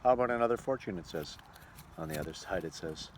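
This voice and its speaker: A man's voice: deep voice, lively intonation, fast, noisy background. A man giving a chatty, rambling video diary as a travelling entertainer. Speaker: travelling musical entertainer and vlogger. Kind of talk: casual video diary chat.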